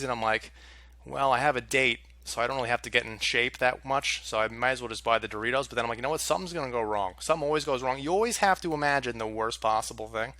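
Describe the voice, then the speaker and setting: Bright-voiced man ranting to camera. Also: mid-range voice, no room sound. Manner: exasperated, swears a lot. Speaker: vlogger. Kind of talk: casual storytelling rant to camera.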